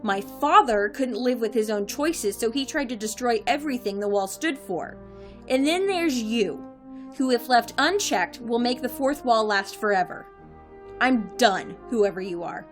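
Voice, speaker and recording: A woman playing a quick-witted, defiant modern heroine in an audio drama, sarcastic, assertive and conversational. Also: on the high side, expressive, soft background music.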